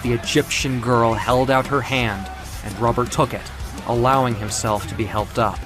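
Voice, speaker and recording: A man with a mid-pitched voice narrates an audio comic. His delivery is dramatic and deliberate, like staged storytelling. Music plays beneath his words. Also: fairly even intonation. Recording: music under the voice.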